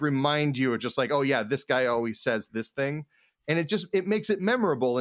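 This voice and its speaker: A man co-hosting a casual chat about game mastering, talking in a halting, rambling way and often repeating words. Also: mid-range voice, no room sound, clean studio sound. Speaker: podcast co-host.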